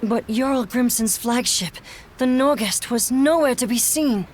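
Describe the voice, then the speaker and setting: A woman's voice: a little high, a little slow, darkish tone, a little hiss or hum, close to an everyday voice. An actress playing a resolute female ship captain in a video game, with a commanding, articulate, formal way of speaking. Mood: composed. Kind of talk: English video game voice acting. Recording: game music behind the voice.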